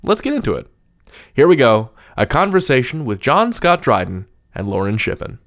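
A man's voice: medium pitch, big pitch swings, moderate pace, gravelly. A man hosting a podcast, articulate and wordy, moving between scripted intros and conversational interviewing.

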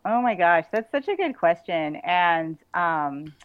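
A woman with a fairly low voice talks as an interview guest in a casual, enthusiastic way. Her audio is narrow and phone-like, with very little room sound around it. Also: big pitch swings, takes it slowly, dry recording. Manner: enthusiastic, informal.

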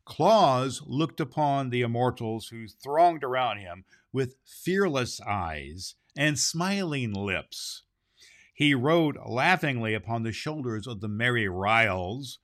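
Santa Claus, played by a man, children's storybook host. Jolly, theatrical, drawn-out delivery, medium male pitch. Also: a bit bright, no room sound, studio quality. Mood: storytelling, gentle. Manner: theatrical, drawn-out phrasing, ho-ho-ho chuckles. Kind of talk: storybook reading and children's show hosting.

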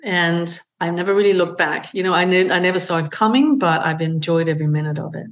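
A middle-aged woman tells her story as a podcast guest. Her voice sits a little low, and she talks in a gentle, reflective way, pausing as she looks for the right word. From Denmark. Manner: reflective, gentle, searching for words. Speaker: guest, beauty brand founder. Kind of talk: interview conversation.

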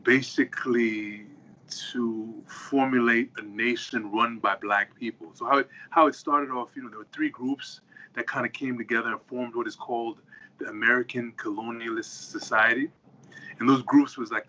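A man's voice: medium pitch, sharp tone. A man from Liberia speaking as a podcast guest, conversational and explanatory, over a lo-fi remote call. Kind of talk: conversational interview. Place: at his workplace, on a remote call.

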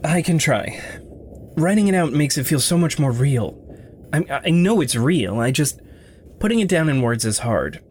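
An actor playing a gentle, guilt-ridden young man, halting and introspective, in a naturalistic audio drama. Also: fairly high pitch, big pitch swings, slight background noise. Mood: vulnerable. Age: young adult.

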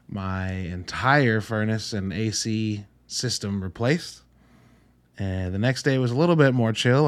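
A man with a fairly low voice hosts a film review podcast on his own. He talks in a casual, informal way, rambling and cutting into his own sentences, and leans on his points with earnest emphasis. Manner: informal, rambling, self-interrupting. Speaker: podcast host reviewing films.

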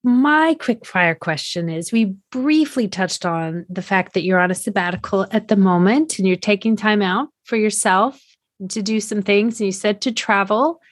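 A woman co-hosting an interview who asks her guest questions in a measured way. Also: mid-range voice. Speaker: podcast co-host.

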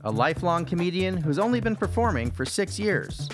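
Podcast host, man, fairly high and very lively voice, over clear music. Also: fairly quick. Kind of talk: scripted podcast intro. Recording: music bed behind the voice.

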